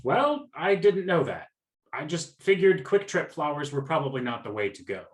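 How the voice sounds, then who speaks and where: A man's voice: on the high side, sing-song, normal speed. Guy playing awkward lovelorn dude in a radio play, flustered, voice goes up and down a lot, roomy echo. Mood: confiding. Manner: hesitant, self-deprecating. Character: flustered, awkward, lovelorn male flower shop customer. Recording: roomy, echoing sound.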